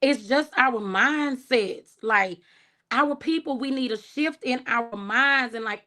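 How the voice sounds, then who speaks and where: A woman hosting her first livestream, chatting casually and warmly, thanking viewers by name and laughing often. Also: on the high side. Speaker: livestream host. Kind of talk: casual livestream chat reading comments.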